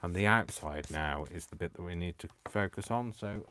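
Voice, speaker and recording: Deep-voiced man crafting live. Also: normal speed, sharp tone. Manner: self-mocking, talks to himself. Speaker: crafting video host. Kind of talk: live crafting stream, chatty self-talk.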